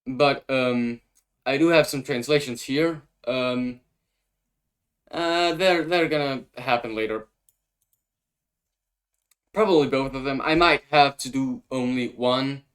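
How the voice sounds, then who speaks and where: A man's voice: medium pitch, slow, pauses often. A young man with a Danish accent, a native Danish speaker, hosting a casual explainer of his invented language. He talks hesitantly and thinks aloud as he translates on the fly. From Denmark.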